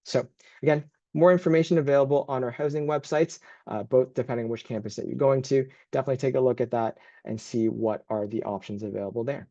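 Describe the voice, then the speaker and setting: A man's voice: mid-range voice, fairly even intonation, fast talker, mellow, no room sound, muffled recording. A man working as a university admissions advisor, hosting and presenting an informal online information session.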